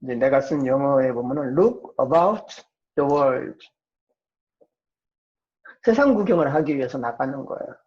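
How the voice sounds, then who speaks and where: A man's voice: medium pitch, lively intonation, unhurried, long pauses. A man giving an informal psychology lecture, explaining conversationally and often answering his own questions. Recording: dull, muffled lo-fi audio.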